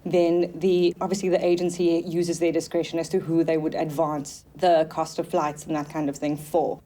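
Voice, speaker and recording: Low mellow-voiced woman, agency booker, frank and matter of fact. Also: little pitch movement, normal speed. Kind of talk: documentary interview.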